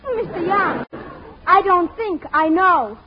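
A woman's voice: very high-pitched, lively intonation, unhurried, background noise. An actress playing a sweet, gushing, romantic young girlfriend in a dramatic 1940s radio comedy style. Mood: gushing, curious. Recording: dull 1940s radio broadcast.

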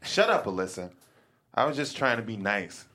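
A man's voice: on the low side, sing-song, normal speed, bright, forceful tone, exaggerated voice. An actor at a table read playing an awkward, rambling fourteen-year-old boy. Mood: flustered. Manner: rambling, flustered.

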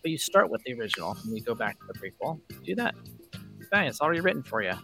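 A man chats casually as a podcast co-host, opinionated and quick to joke. His pitch moves around animatedly and often drops into vocal fry. Faint music plays behind him. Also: very animated. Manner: opinionated, joking. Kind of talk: casual podcast banter about games and film.

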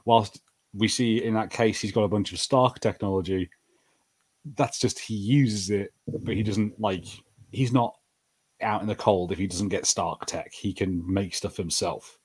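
A man's voice: on the low side, steady tone, measured pace, husky. A man co-hosting a podcast, chatting casually as he reviews TV shows. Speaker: podcast co-host.

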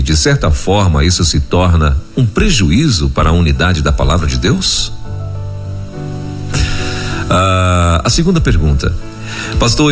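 Deep-voiced jovial radio host, man. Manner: jovial, laughs often, reads listener questions. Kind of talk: live radio chat.

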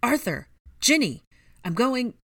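A woman's voice: fairly high pitch, very animated, slow pace, creaky, breathy edge, no room sound, clean studio sound, pitched above the performer's own voice, exaggerated voice. A woman voicing an earnest, indignant young witch with an urgent, emphatic delivery, in a storybook reading.

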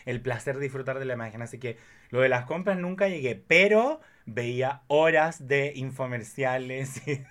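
A man's voice: mid-range voice, lively intonation, moderate pace, fairly bright tone. A man in his thirties with a Chilean accent, chatting casually as a podcast guest in an effusive, rambling way. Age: 30s. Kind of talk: casual chat.

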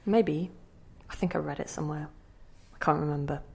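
A woman's voice: low voice, brisk, gravelly, a little mellow, slightly rough, full character voice. A woman acting a mysterious guide to memories in an audio play, dry, playful and conversational, forever questioning. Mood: musing, playful.

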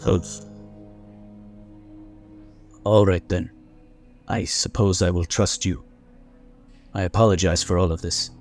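A man's voice: low-pitched, steady tone, normal speed, gravelly, faint music behind. An actor playing a wary, protective father in a sci-fi audio drama, hesitant and plain-spoken. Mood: resigned. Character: wary, protective father reluctantly parting with his son.

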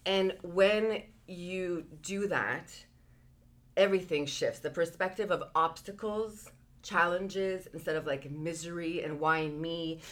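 A woman from Peru, a guest entrepreneur, telling her life story in a relaxed, chatty interview with frequent "like" fillers. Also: lowish voice, takes it slowly.

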